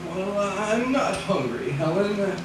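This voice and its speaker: An actor playing a weary, stubborn middle-aged husband in a 1940s radio drama, speaking in a terse, brooding way. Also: male voice, sits high, animated, slow. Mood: weary, despairing. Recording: echoey, noisy old radio recording, distant.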